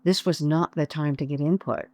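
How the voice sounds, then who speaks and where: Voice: medium pitch, fairly even intonation, moderate pace, darkish tone, dry recording. A podcast host who reflects on and sums up the guest's points in an interview. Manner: summarizing, reflective, affirming. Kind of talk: interview conversation.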